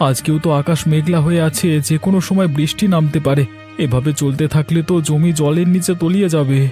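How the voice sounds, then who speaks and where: A man plays a poor village fisherman and farmer in a cartoon tale. His voice sits a little high and has a soft, mellow tone. He sounds plaintive and worried, with faint music behind him. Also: fairly even intonation, fast, close to an everyday voice. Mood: lamenting, anxious. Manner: plaintive, lamenting. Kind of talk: cartoon story dialogue.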